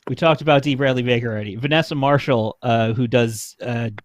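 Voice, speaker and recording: A man's voice: medium pitch, fairly quick, no room sound. A young adult man chatting casually on a livestream, an enthusiastic fan who peppers his talk with "like". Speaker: show panelist.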